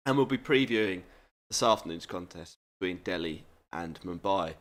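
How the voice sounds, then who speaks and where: A man's voice: low-pitched, expressive, moderate pace. A man co-hosting a podcast in casual chat, his voice nasal and congested from hay fever, rambling and self-deprecating. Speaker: podcast co-host.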